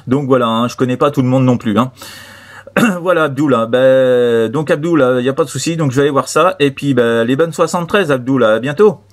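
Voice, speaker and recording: A man's voice: mid-range voice, a little fast. Casual Frenchman chatting on amateur radio. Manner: repetitive, filler-heavy, friendly sign-offs. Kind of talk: casual radio contact chat.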